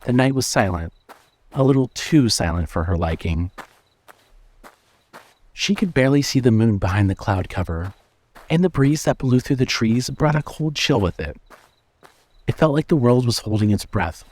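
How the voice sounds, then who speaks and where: A man narrating a scripted horror story in a measured, suspenseful way. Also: medium pitch, animated, darkish tone.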